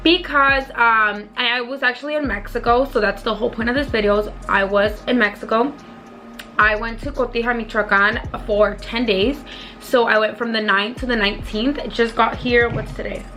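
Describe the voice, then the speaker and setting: A hoarse young woman who has partly lost her voice tells a rambling, chatty storytime to her viewers as a beauty vlogger. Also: fairly high pitch, fairly even intonation, normal speed, bright, forceful tone, faint music behind. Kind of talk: casual storytime vlog.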